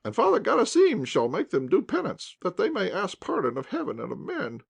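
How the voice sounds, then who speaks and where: A man voicing a bossy middle-aged commandant's wife, scolding and giving orders in a high, loud voice. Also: huge pitch swings, a little fast, vocal fry, slightly rough, full character voice. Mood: indignant.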